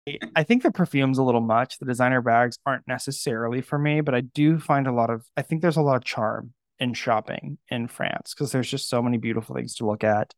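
Gravelly-voiced man hosting a casual podcast. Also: medium pitch, fairly quick, hardly any pauses, dry recording, clean studio sound. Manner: joking, self-deprecating. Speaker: podcast host. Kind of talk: casual chat.

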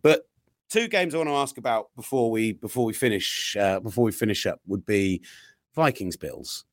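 A man's voice: on the low side, normal speed, no echo, studio quality. A man hosting a sports podcast, telling long rambling stories in a casual chat. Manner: chatty rambling storyteller, animated. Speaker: podcast host.

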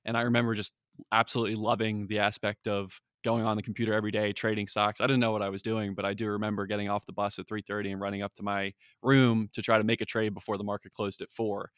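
A man's voice: lowish voice, flat delivery, fast, a bit bright, clean tone, no room sound, clean studio sound. A young man, a research analyst guest, answering interview questions in an enthusiastic, conversational way.

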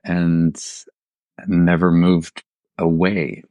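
Middle-aged guy raised in germany, low voice, chatting casually about his life, dry close sound. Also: flat delivery, drawn-out words, mellow, no room sound. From raised in southern Germany. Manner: informal, quotes imagined dialogue as he tells stories. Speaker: installation artist, podcast guest. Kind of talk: casual conversational storytelling.

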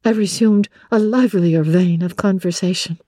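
A woman narrating classic literature in a measured, expressive storytelling manner. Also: lowish voice, no room sound, studio quality.

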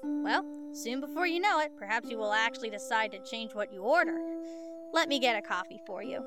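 An actress plays a solicitous waitress in a 1940s-style radio play. Her voice is high and polite, with music clearly audible behind it. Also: expressive, brisk, close to an everyday voice. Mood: teasing, friendly. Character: caring, attentive diner waitress. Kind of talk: old-time radio drama acting. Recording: music playing over the voice.

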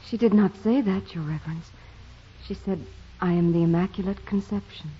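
A devout young peasant girl in a vintage radio play. Her voice is very husky and soft, rather low, and she speaks with simple, humble sincerity. The sound is muffled like an old broadcast. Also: normal speed. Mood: humble, earnest. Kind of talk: 1940s radio drama acting.

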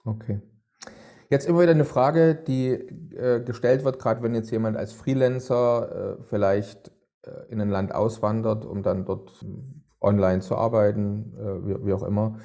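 A man's voice: on the low side, fairly even intonation, a little slow, gravelly, warm tone. A man hosting a podcast, putting long, winding questions to his guest with many fillers. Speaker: podcast host.

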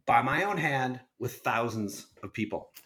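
A man's voice: mid-range voice, animated, a little slow, sharp tone. A man reading an essay aloud as a podcast guest, in an earnest, emphatic way. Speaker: guest reader.

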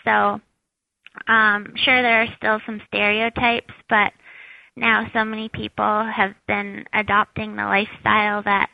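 A woman with a squeaky high voice, a shop owner chatting as an interview guest, with lots of fillers. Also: level tone, a little slow, creaky, no echo, narrow, tinny audio. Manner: chatty, many fillers, laughs at herself. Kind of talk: casual interview answers.

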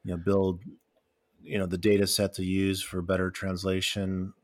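A man's voice: deep voice, monotone, moderate pace. A man co-hosting an interview, asking follow-up questions in a casual way. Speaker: podcast co-host.

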